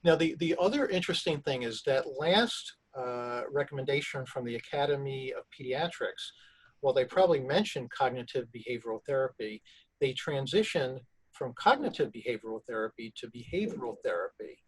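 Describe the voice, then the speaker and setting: A man's voice: medium pitch, expressive, brisk, breathy edge, poor audio. Inquisitive male prescriber asking questions. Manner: inquisitive, repeats words while thinking. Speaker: pediatric prescriber interviewing a guest. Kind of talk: conversational interview.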